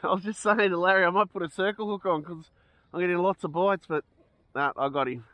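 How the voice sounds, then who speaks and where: A man's voice: sits high, fairly even intonation, fairly quick, no echo, dull audio. A middle-aged Australian man giving casual commentary as he fishes from a beach, cheerful and chuckling often, recorded outdoors on a head-mounted action camera. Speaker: fishing vlogger. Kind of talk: casual running commentary while fishing. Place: outdoors on a sandy beach by the water.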